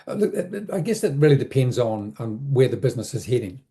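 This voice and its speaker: A man with a notably dark, soft-edged voice at an ordinary male pitch. He explains things conversationally, like an advisor being interviewed. His delivery is reflective, circling back and rephrasing as he goes. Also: big pitch swings. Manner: reflective, halting, repeats words and rephrases as thinking aloud. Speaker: interview guest, business advisor.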